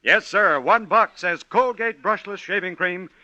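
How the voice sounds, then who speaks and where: A man's voice: sits high, a little slow, pushed and bright, no room sound. An American man reading a 1940s radio commercial as a punchy, emphatic announcer. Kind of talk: 1940s radio advertising pitch. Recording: dull, dry vintage radio recording.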